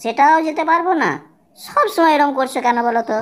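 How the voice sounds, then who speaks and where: A woman's voice: high voice, fast talker, close to an everyday voice. A woman voicing a harried, grumbling schoolchild in an amateur comedy cartoon. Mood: defiant grumbling.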